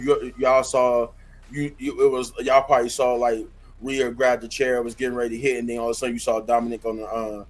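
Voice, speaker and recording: Hoarse, rambling man. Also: mid-range voice, rough recording. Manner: rambling, many fillers and restarts. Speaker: wrestling reviewer. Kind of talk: casual unscripted review.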